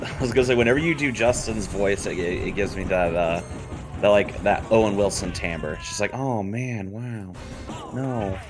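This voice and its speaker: A man's voice: lowish voice, moderate pace, music in the background. A man chatting casually as co-commentator on a video game speedrun.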